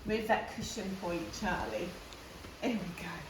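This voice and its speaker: A woman hosting storytime, reading a picture book aloud in an expressive way, stretching key words for young listeners. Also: lowish voice, unhurried, noisy background. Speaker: storytime host reading a picture book to viewers and her dog. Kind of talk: animated children's story reading. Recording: roomy echo, distant mic.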